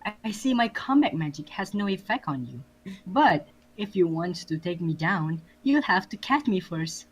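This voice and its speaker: A woman voicing an evil, smug unicorn sorceress who taunts slowly and theatrically in an amateur fan fiction reading. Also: fairly low pitch, big pitch swings, a little hiss or hum, low-quality recording. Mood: smug, taunting.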